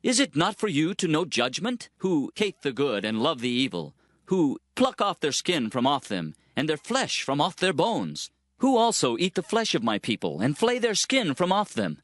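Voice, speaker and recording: A man's voice: a little high, a bit bright. A man playing an impassioned prophet in a dramatized scripture reading, declamatory and theatrical as he laments and warns his people, with music behind. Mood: indignant. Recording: music under the voice.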